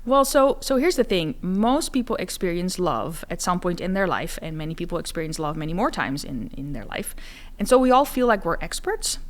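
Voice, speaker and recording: A woman's voice: on the low side, very animated, a little fast. A woman from the Netherlands, a professor explaining her research conversationally as an interview guest. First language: Dutch. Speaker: psychology professor, podcast guest.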